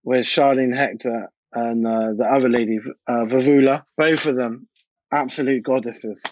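A man's voice: medium pitch, fairly even intonation, normal speed, dull audio. A British man, a DJ and producer, chatting informally and anecdotally as an interview guest. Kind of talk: casual interview chat.

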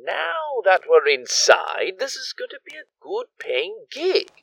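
A man's voice: high voice, wildly swooping pitch, slow pace, gravelly, fairly bright tone, husky, heavily acted. A man playing a smug, flowery-spoken kidnapper gang leader in an audio drama, pompous and theatrical. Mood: gloating. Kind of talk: audio drama villain acting.

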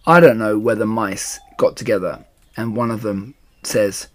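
A man reading a children's storybook aloud in an expressive style. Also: lowish voice, measured pace. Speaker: storybook reader.

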